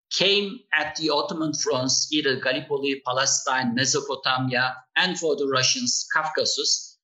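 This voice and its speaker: An older man from Turkey with a Turkish accent, explaining history as a podcast guest in a measured way with frequent pauses. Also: a little high, sharp tone, rough recording. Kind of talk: podcast interview answer.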